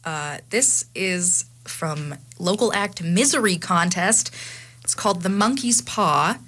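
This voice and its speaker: A woman's voice: fairly low pitch, expressive, fairly bright tone. A young woman co-hosting a radio show in a studio, chatting and announcing in an upbeat way. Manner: chatty, upbeat, quick asides with laughs. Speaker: radio co-host. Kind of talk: casual radio chat and announcing. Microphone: studio microphone.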